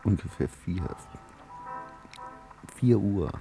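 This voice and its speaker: An actor playing a suave, submissive man in a radio drama, speaking in a courteous, hushed and measured way. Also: sits low, very animated, creaky, faint music behind, exaggerated voice. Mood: hushed, yearning. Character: suave, submissive male stage actor visiting his lover. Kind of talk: intimate radio drama acting.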